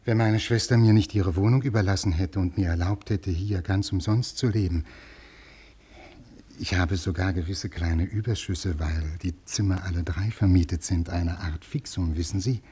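A man's voice: low voice, moderate pace, warm tone, breathy edge. An actor playing an anxious scholarly man who pleads and over-explains earnestly, in a 1950s German radio play. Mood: anxious, pleading. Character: anxious, pleading, scholarly male literary historian.

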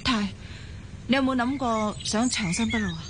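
A woman's voice: fairly high pitch, normal speed, noisy background, natural voice. An actress with a Hong Kong Cantonese accent playing a devoted, persuasive woman in love in a radio drama. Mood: pondering, tempting. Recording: music behind the voice.

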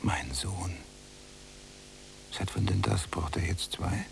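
An actor playing a brooding, embittered prisoner in a German radio drama, with a gravelly voice and a sardonic, often hushed delivery. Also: male voice, sits low, steady tone, takes it slowly, slight background noise, full character voice. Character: brooding, embittered male prisoner, younger cellmate. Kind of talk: German radio drama acting, literary monologue.

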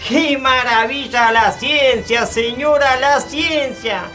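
Slow-talking folksy old grandfather. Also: high-pitched, loud music behind the voice. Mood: amused. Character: old grandfather, amused, curious about the world. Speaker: radio play actor. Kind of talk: Spanish-language radio theatre acting.

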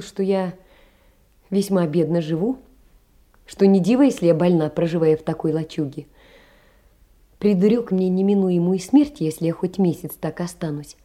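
An actress playing a poor, earnest young woman confiding in a dear friend, in a measured audio-play monologue. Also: medium pitch, mellow, close to an everyday voice. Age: young adult.

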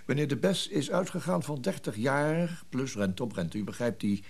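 An actor playing a discreet, formal financial go-between, hesitant and polite, in a naturalistic radio drama. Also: male voice, medium pitch, lively intonation, normal speed, gravelly, breathy edge. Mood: careful, explaining.

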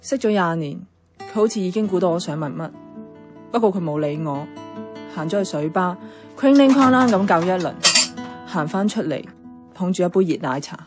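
A woman's voice: on the low side, lively intonation, normal speed, pauses often, a little mellow, soft background music, lightly acted. An actress with a Hong Kong Cantonese accent playing a thoughtful, frank young woman in a radio drama. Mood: wistful. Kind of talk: radio drama dialogue and narration.

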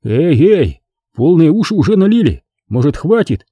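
A young knight's groggy, embarrassed voice , acted by a male narrator. He talks in short, bewildered bursts, with a soft dark tone and a storybook feel. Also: fairly high pitch, big pitch swings, moderate pace, husky, no echo, dull audio, pitched above the performer's own voice. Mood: protesting. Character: embarrassed, groggy eighteen-year-old knight.

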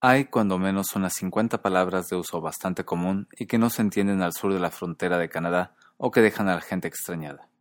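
A man hosting a podcast who explains things in a measured, scripted narration. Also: low-pitched. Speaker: podcast host.

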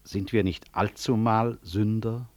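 Actor as a deep-voiced, dignified medical professor. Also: male voice, fairly even intonation, a little mellow, lightly acted. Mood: firm, controlled. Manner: formal, measured, precise. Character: dignified male medical professor, courteous but unyielding, gently ironic. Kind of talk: 1960s German radio drama acting.

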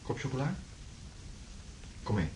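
An actor playing a troubled, anxious tenant in a radio drama, earnest and hesitant. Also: male voice, a little slow, background noise, natural voice. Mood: affectionate. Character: troubled male tenant, anxious, convinced the house is wrong. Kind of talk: Dutch radio drama acting.

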